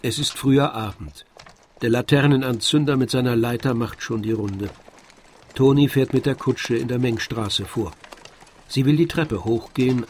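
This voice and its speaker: A man narrating a literary radio drama from a script in a measured storytelling manner. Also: mid-range voice, darkish tone, slight background noise. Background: faint music.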